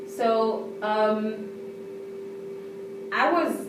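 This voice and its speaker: A woman from Kenya with a Kenyan accent, answering interview questions in a measured, unhurried way with pauses. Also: fairly high pitch. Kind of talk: conversational interview. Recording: echoey room from far off, noisy.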